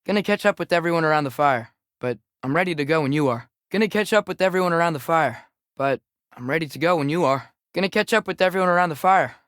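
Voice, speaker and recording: A man's voice: on the high side, lively intonation, quick pace, a bit bright, clear, resonant tone, no echo, clean studio sound. A young man with an American accent voicing a restless, casual teenage boy adventurer in a video game, with a hesitant, understated delivery. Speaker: game character voice actor. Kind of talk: video game companion voice lines.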